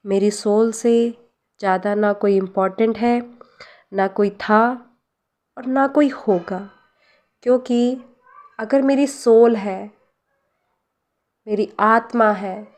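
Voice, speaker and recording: An Indian woman leading a guided meditation and prayer, speaking gently and deliberately with pauses and repeated phrases. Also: a little high. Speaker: guided meditation leader, life coach.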